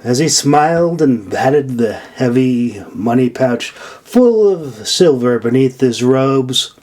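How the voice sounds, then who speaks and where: Slow, deliberate man reading fantasy fiction. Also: medium pitch, lively intonation, a little mellow. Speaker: author reading own audiobook. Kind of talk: scripted fantasy audiobook narration.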